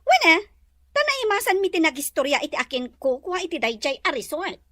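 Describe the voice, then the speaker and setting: A woman acts out a rich young madam in an Ilocano audio drama, haughty and scolding. Her voice is very high and piercingly bright, swinging widely in pitch, with a Filipino accent and a close, dry sound. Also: big pitch swings, fairly quick, no echo, exaggerated voice. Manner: curt, scolding, imperious. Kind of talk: Ilocano radio-style drama acting.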